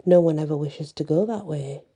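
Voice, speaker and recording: A woman voicing a foreboding male gate guardian in a storybook, giving measured warnings in a soft, breathy voice. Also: low voice.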